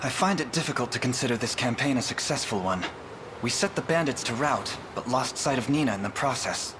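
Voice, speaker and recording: An actor playing a noble young prince in a video game, speaking in a courteous, measured and formal way. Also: male voice, mid-range voice, expressive, noisy background, close to an everyday voice. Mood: sober. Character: noble young prince leading a liberation army. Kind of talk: scripted video game voice acting. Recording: voice under loud game music.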